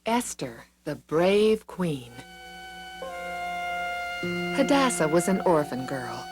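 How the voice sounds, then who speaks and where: A woman narrating scripted Bible stories for children in an expressive, deliberate storytelling manner. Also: deep voice. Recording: music behind the reading. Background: background music.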